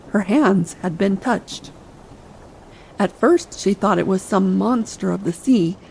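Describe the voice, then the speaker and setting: A woman's voice: mid-range voice, creaky, a little mellow, rough recording. A woman narrating a dramatic audiobook reading meant for late-night listening, over sea ambience. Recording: faint ambient sea noise behind.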